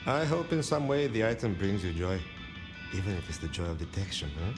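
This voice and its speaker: A man's voice: sits low, big pitch swings, brisk. A man voicing a weary, friendly pawnbroker in a video game, speaking in theatrical, wistful phrases. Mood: gentle, weary. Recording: music behind the voice.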